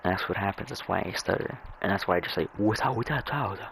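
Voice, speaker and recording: A young man chatting casually and rambling over his gameplay, halting and stammering as he repeats words. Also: on the low side, gravelly, a bit bright, a little hiss or hum. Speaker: gamer narrating own gameplay.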